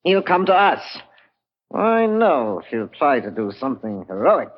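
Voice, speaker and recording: A man's voice: mid-range voice, big pitch swings, measured pace. An actor playing a spiteful villainous sheriff in an old-time radio drama, sneering and drawing out his taunts with relish. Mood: gloating menace. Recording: tinny, band-limited old radio recording.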